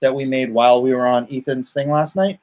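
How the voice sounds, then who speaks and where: A man's voice: mid-range voice, fairly even intonation, brisk, a little mellow. A middle-aged man with a nasal voice, chatting casually as the host of a livestream. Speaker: host, comic artist.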